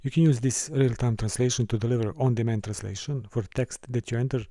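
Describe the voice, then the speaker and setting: A man from Serbia, teaching a course and walking through a software console, with careful, halting phrasing. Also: medium pitch, steady tone, dry recording, clean studio sound. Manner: careful, halting delivery with small restarts and non-native phrasing. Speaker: course instructor. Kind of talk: screen-recorded software tutorial.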